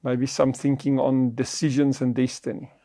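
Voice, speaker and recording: Gravelly, mellow man. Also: medium pitch, little pitch movement, moderate pace, no room sound. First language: Afrikaans. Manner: earnest, preacherly, teaching tone. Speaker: podcast co-host.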